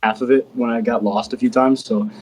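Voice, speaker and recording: A man's voice: mid-range voice, steady tone, a little fast, a little mellow, husky, poor audio. A young man who co-hosts and edits a podcast, talking in casual chat. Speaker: podcast co-host and editor.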